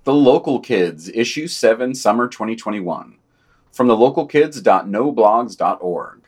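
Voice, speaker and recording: A man's voice: medium pitch, lively intonation, unhurried, husky. A man hosting a podcast, reading a scripted news digest and long quotations aloud. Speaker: podcast host.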